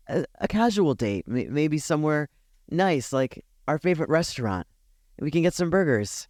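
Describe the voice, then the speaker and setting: A man playing a tender, nervous best friend confessing a crush, speaking softly, hesitantly and earnestly in an intimate ASMR roleplay. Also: medium pitch, dry recording, clean studio sound, lightly acted. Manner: soft-spoken, hesitant, earnest.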